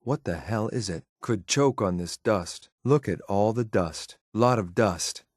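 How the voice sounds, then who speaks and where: A low, close and dry male voice for a video game synth enforcer. He speaks in clipped, controlled phrases, coolly watchful and faintly disdainful of what is around him. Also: a little slow, dry recording. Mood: detached, observant. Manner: clipped, matter-of-fact, controlled, faintly condescending. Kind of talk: video game companion voice lines.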